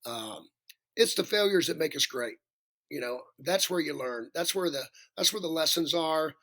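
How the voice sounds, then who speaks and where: A male singer with a raspy voice, chatting as a podcast guest, rambling and self-deprecating with many restarts. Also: fairly high pitch, animated, moderate pace, bright, forceful tone. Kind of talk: casual interview chat.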